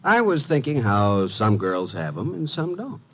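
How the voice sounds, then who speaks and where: An actor playing a wry, flirtatious detective's assistant who narrates breezily in 1950s radio drama style. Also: male voice, medium pitch, sing-song, a little slow. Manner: wry, breezy, smooth-talking.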